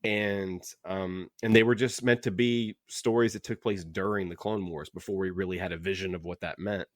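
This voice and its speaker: A man co-hosting a casual book club podcast, talking in an animated, rambling, joking way. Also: low voice, normal speed, no room sound, studio quality. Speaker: podcast co-host.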